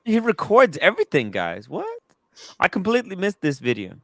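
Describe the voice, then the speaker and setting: A man in his thirties hosting a casual chat about watches, talking excitably with lots of restarts and swearing. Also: sits high, normal speed, no echo, clean studio sound. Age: 30s. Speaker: host.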